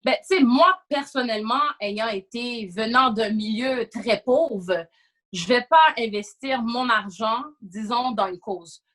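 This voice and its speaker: A woman's voice: on the high side, animated, measured pace, a bit bright. A woman with a Quebec French accent speaking as a panelist in a casual discussion, emphatic, repeating phrases and slipping into English. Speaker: podcast panelist.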